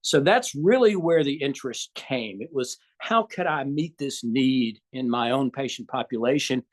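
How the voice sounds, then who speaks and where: An older man with a Southern US accent explains things as a guest doctor. His voice sits at an ordinary middle pitch, and he speaks in a careful, measured way, pausing on fillers and restarting his sentences. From Southern United States. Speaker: physician guest. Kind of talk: conversational interview answers.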